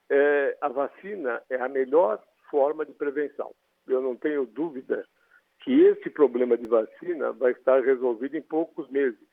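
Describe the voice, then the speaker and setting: Brazilian doctor on a tinny phone line. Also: male voice, mid-range voice, gravelly, no echo. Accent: Brazilian Portuguese. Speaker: infectious disease doctor. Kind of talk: phone interview on radio. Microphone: telephone.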